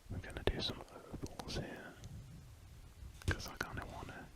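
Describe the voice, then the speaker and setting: Whispering man drawing. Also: moderate pace. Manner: soft rambling whisper, relaxed. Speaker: art channel host. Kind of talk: whispered ASMR drawing commentary.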